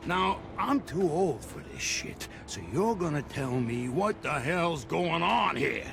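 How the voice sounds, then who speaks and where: An actor playing an angry, threatening old mob boss in a video game cutscene, with a gravelly voice. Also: male voice, on the high side, very animated, slow, music in the background, heavily acted. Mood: furious. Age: older. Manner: gravelly, furious, menacing. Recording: game cutscene with score behind.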